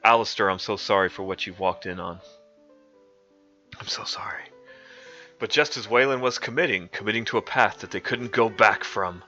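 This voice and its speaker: A man's voice: on the low side, normal speed, pauses often, sharp tone. A young man streaming a mock-dramatic reading of a comic fanfic, often cracking up with laughter. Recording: dull lo-fi stream audio with faint music.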